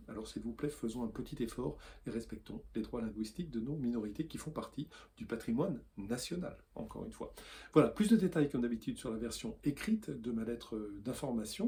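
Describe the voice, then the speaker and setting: Weekly columnist from France, earnest and hesitant, warm dark timbre. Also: male voice, mid-range voice. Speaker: newsletter columnist. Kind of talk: opinion video commentary.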